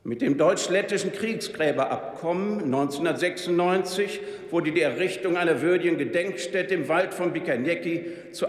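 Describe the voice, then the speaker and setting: An older man solemnly reading a prepared memorial speech, slow, grave and measured. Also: a little high. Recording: echoey hall with long reverb.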